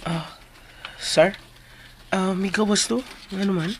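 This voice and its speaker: A man's voice: sits high, steady tone, slow pace, fairly bright tone, a little hiss or hum, heavily acted. A male radio drama actor playing an easygoing, teasing helper with a jokey, drawling manner. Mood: casual.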